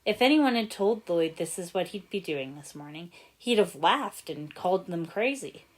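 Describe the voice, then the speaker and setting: Expressive woman reading a story, lowish voice. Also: moderate pace. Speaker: fan fiction narrator. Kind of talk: expressive scripted storybook narration.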